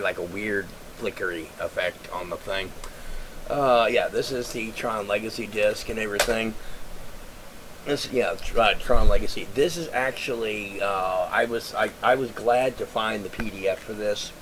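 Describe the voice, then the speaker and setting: A man with a raspy, congested voice, talking casually into his webcam and rambling through ideas with many fillers and restarts. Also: fairly low pitch, normal speed, fairly bright tone, slight background noise, low-quality recording. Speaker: vlogger.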